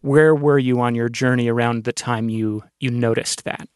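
A man hosting a podcast interview in a thoughtful conversational style, with wordy, deliberate and articulate phrasing. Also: medium pitch, steady tone, clean tone, no echo, clean studio sound.

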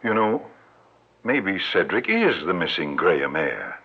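An actor playing a grandiose, smooth-talking older con man who lectures in a pompous, fatherly way, in a 1940s radio drama. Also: low voice, sing-song, a little slow, heavily acted. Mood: scheming. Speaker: swindler. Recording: tinny old radio broadcast.

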